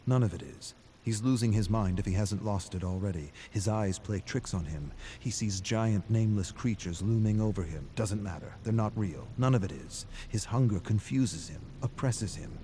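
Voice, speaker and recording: A man with a low voice narrates a story in a brooding, dramatic way. He places short phrases deliberately and leaves pauses between them. A clear layer of ambient noise sits behind his voice. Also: warm tone.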